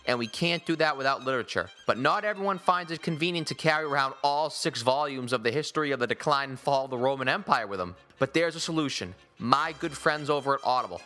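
An American man fronts a sports podcast in a forceful, bright voice. His phrasing is wordy and rhetorical, built for commentary and promo reads. Light music sits behind him. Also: mid-range voice, expressive, fast, few pauses.

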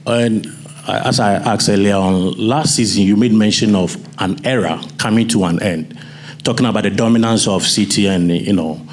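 A man's voice: on the low side, normal speed. A male journalist from Ghana with a Ghanaian accent, asking questions at a press conference.

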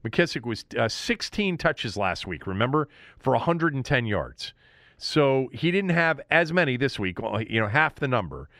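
A male sports host talks through a game recap in a conversational, opinionated style. His pitch is mid-range but swings widely as he stresses his points. The sound is dry, with very little room in it. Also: sing-song, moderate pace, a bit bright, no room sound. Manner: opinionated, emphatic.

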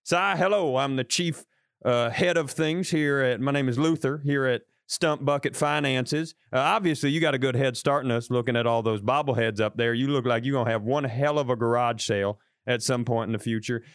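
A man's voice: fairly quick, no echo, natural voice. Folksy hick money advisor, salesman patter, dry close mic; a comedian puts him on. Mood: pitching. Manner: slick salesman pitch. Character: folksy backwoods male financial advisor.

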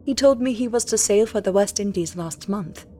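A woman's voice: medium pitch, moderate pace, warm tone, a little hiss or hum, close to an everyday voice. A woman reading a storybook voicing a frightened young woman crying out in alarm. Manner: alarmed, breathless cries.

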